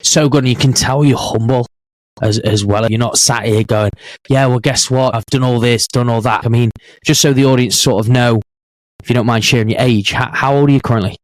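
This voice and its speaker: A man's voice: mid-range voice, steady tone, brisk, no echo, low-quality recording. Encouraging male podcast host, dry sound. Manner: encouraging, affirming interviewer. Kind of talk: casual interview chat.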